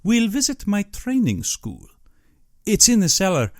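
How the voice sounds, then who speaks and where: A man's voice: high voice, wildly swooping pitch, unhurried, no echo, studio quality, pitched above the performer's own voice, exaggerated voice. A man voicing a genteel, menacing general in an audiobook, with pompous and exaggeratedly courteous speech. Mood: smug politeness. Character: genteel, menacing aristocratic general who hunts men.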